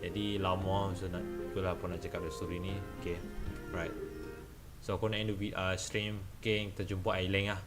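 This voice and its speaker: A male game streamer with a Malaysian accent and a low voice. He rambles casually and repeats himself. Music plays clearly behind his chat. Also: flat delivery, fast talker. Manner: rambling, repetitive.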